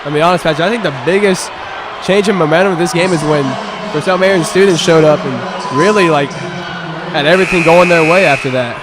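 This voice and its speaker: A man's voice: on the high side, fairly quick. A young man giving informal, opinionated color commentary on a basketball game as a student co-commentator. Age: young adult. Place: indoor high school basketball game. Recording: noisy gym with crowd behind.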